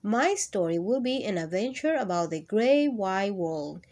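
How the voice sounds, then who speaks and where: An eager young male dog from a children's storybook , acted out by a woman reading aloud. He is polite and earnest, announcing himself in an unhurried voice. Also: medium pitch, sing-song, darkish tone. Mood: eager, proud.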